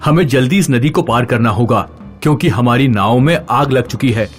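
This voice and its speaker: A man voicing earnest family men on a magical adventure in a children's animated story. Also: medium pitch, normal speed, faint music behind, natural voice. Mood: urgent, worried.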